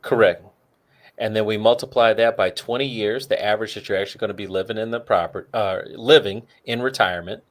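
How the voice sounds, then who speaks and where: A man's voice: lowish voice, fairly quick. Easygoing male co-host talking money. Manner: works through figures aloud, many fillers. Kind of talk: casual financial talk show chat.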